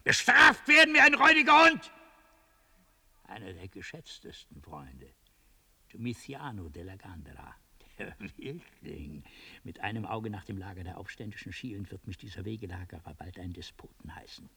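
An actor playing an older tyrant general in a radio drama, raspy and commanding, given to furious outbursts. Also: male voice, fairly low pitch, big pitch swings, moderate pace, pushed and bright, full character voice. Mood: scheming, stern. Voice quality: raspy, creaky. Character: volatile tyrant general, scheming, cold, exploding in rage.

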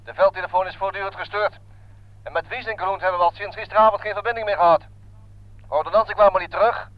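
A dutiful wartime lieutenant played by a male actor delivers formal military reports. His voice is high for a man, in a radio play on a dry, dull old recording. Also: steady tone, quick pace, no echo, close to an everyday voice. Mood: grave, formal.

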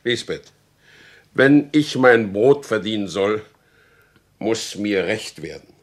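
Wronged horse dealer, male, low voice, proud, stubborn, formal old-fashioned diction, radio play. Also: expressive, slow, full character voice. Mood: bitter, defiant. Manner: formal, insistent, old-fashioned literary speech. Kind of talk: radio drama acting.

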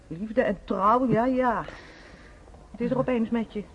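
Radio drama mother: bitter, anguished, highish husky voice, muffled recording. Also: a little slow. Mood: bitter, mocking. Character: bitter, anguished working-class mother of soldier son. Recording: dull old radio recording.

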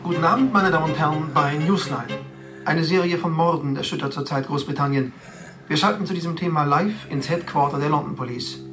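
An actor playing a polished TV news presenter in a radio drama, formal and questioning. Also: male voice, a little high, brisk, recorded from a distance, over music, natural voice. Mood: formal, concerned. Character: polished, concerned male television news presenter.

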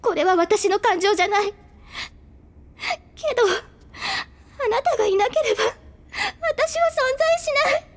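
A woman's voice: extremely high pitch, big pitch swings, moderate pace, long pauses. A woman playing a frail, dying teenage girl in an audio drama, tormented and yearning, speaking in a fragile, tearful, confessional way. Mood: anguished. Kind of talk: Japanese audio drama acting. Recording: loud string music behind the voice. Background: violin and string music.

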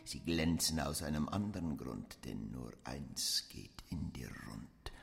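An actress in a radio play playing a reverent, sensual worshipper, reciting a mock liturgy with a chanting delivery. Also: female voice, very deep voice, lively intonation, a little slow, creaky, in a large hall, full character voice. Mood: rapt, reverent. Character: reverent, sensual female worshipper of animal flesh.

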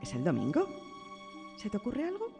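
Teasing young girl's mother, very animated. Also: mid-range voice, moderate pace, creaky, long reverb tail, over music. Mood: amused. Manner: teasing, indulgent. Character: playful, affectionate mother of a young girl. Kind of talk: radio drama acting.

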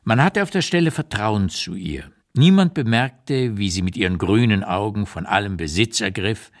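A man playing an old émigré writer who recalls wartime years in a radio reading, with deliberate, emphatic, literary phrasing and an unsparing eye. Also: lowish voice, expressive. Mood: wry, observant. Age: older. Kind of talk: dramatised literary memoir reading, radio play.

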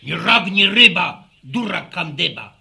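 A man's voice: high voice, lively intonation, measured pace, gravelly, bright, forceful tone, husky, full character voice. An actor in a radio serial playing a stubborn, garrulous old village grandfather who mutters and repeats himself. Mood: chatty, amused. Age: older. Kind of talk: Polish radio serial acting.

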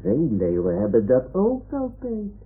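A man's voice: medium pitch, huge pitch swings, unhurried, slightly rough, full character voice. A man voicing a self-important toy lion who poses as an old seafarer in a radio play, speaking in boastful declarations. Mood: matter-of-fact. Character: boastful toy lion posing as sea lion and retired sea captain. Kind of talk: 1960s-70s Dutch radio drama acting. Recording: muffled, phone-like old radio recording.

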